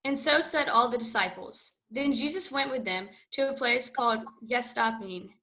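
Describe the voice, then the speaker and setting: A woman narrating a scripture reading in a steady, even read-aloud way. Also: on the high side, moderate pace, low-quality recording.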